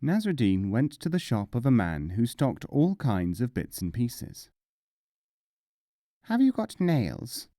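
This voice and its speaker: A man narrating short tales as an audiobook, with measured, deliberate phrasing and dramatic pauses. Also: mid-range voice, sing-song, dark tone, no room sound, studio quality. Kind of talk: scripted storytelling narration.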